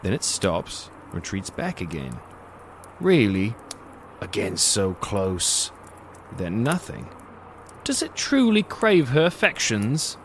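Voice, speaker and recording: An actor playing a gloating predatory monster who narrates a dark fantasy audio drama in a theatrical, savoring, sardonic manner. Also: male voice, on the low side, wildly swooping pitch, slow pace, a little hiss or hum, full character voice. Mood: intrigued, scornful.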